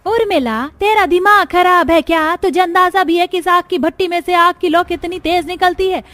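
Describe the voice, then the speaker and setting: Woman voicing an urgent, shrill-pitched scolding elder relative. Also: moderate pace, a bit bright, no room sound. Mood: angry scolding.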